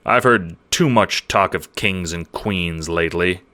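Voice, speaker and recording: A man's voice: low-pitched, unhurried, bright, forceful tone, no room sound. A man voicing an earnest young hero.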